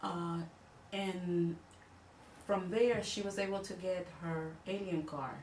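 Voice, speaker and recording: A Dominican woman with a fairly low voice recalls her family's past, stopping and restarting as she reaches for the right words. She sits well back from the mic in an echoey room with faint noise behind her. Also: fairly even intonation, a little mellow, distant mic. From Dominican Republic. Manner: halting, searching for words and repeating herself. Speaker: interviewee. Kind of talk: recounting family history.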